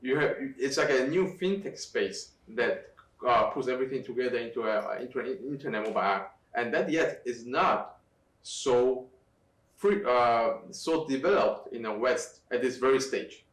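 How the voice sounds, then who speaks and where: Hombre en sala con eco, algo lejos del micrófono. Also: male voice, mid-range voice, animated. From Taiwan. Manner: halting, many restarts and fillers. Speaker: interview guest. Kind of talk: conversational interview. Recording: echoey room, distant from mic.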